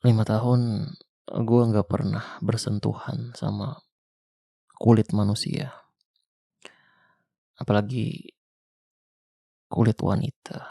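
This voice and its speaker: A young man with a Jakarta slang accent playing a weary ex-soldier survivor of a zombie apocalypse, speaking softly and intimately in an ASMR roleplay. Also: on the low side, little pitch movement, creaky, slightly rough, no room sound, clean studio sound, heavily acted. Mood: tender. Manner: soft, slow, intimate, half-whispered. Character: weary male ex-soldier survivor, tender protector. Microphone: close mic.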